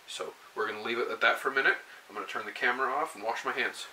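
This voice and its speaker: A man's voice: medium pitch, very fast, low-quality recording. A young man hosting a home cooking show, casually talking through each step at his kitchen stove. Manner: relaxed, folksy, talks to camera step by step. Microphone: camera mic, speaker off frame, moving around. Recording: kitchen noise, sizzling pan. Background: frying and sizzling pan.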